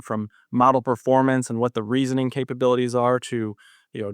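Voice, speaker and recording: A man's voice: medium pitch, clear, resonant tone, no echo, studio quality. A young man speaking as an expert podcast guest, explaining his work conversationally in an interview. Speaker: cardiology fellow, podcast guest.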